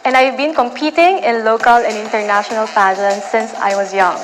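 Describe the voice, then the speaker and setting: A Filipino-accented woman with a fairly high voice presents herself in a prepared pageant introduction. Music is clearly heard behind her. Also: fairly even intonation, brisk. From Philippines. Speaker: pageant contestant and runway model. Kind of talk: scripted self-introduction to camera. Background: prominent music.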